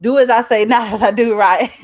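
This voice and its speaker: A woman's voice: a little high, fairly even intonation, moderate pace, no echo, studio quality. A young adult woman with a Southern US accent, talking casually as a channel host in a folksy, emphatic way on a livestream. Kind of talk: casual livestream chat reading out viewer comments.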